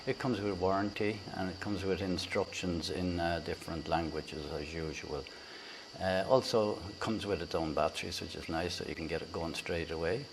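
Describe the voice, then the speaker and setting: A man with an Irish accent, hosting a casual product review on a lavalier mic, talking in a halting way with many fillers and restarts. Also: low-pitched, fairly even intonation, background noise. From Ireland. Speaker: tech reviewer, channel host. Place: indoors in a room with a fan, then outdoors by a busy road.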